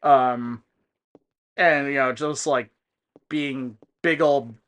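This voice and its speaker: A man giving casual, digressive commentary on a video game, explaining things in a halting way. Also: medium pitch, steady tone, a bit bright, clean tone. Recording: faint music in the background.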